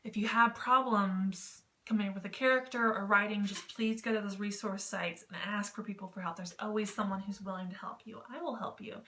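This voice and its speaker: A woman's voice: mid-range voice, fairly even intonation, brisk, hardly any pauses, bright, forceful tone. A woman vlogger giving informal advice in a rambling, slightly self-conscious way. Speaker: YouTube vlogger. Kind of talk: casual advice vlog on online text roleplay.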